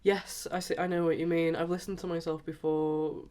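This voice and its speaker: A woman's voice: on the low side, flat delivery, normal speed, warm tone. A woman guesting on a friend's podcast, bantering playfully and chatting casually while she has a cold. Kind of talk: casual chat.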